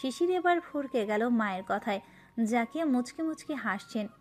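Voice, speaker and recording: Woman reading a romance story, highish voice, light music in the background. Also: lively intonation, moderate pace, a little mellow. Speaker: narrator. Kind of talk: scripted narration of a romantic story.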